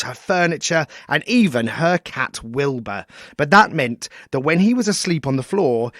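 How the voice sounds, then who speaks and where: A man's voice: fairly high pitch, no room sound, studio quality. A man presenting a scripted introduction for a children's story podcast, speaking expressively and straight to the listener. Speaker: podcast host.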